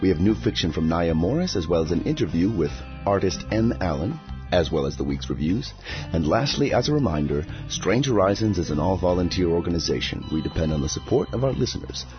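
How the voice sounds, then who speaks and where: A man's voice: low-pitched, expressive, fairly quick. A man reading a scripted introduction as the host of a podcast. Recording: dull lo-fi sound with music behind. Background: music.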